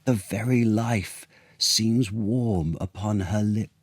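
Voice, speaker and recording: A man's voice: fairly low pitch, darkish tone, no echo. Male reader as storybook monarch: full of wonder and feeling, reverent stage diction, lingering on words, dry close sound. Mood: hushed wonder. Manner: theatrical, drawn-out, reverent. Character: emotional, awestruck king from a children's Shakespeare tale.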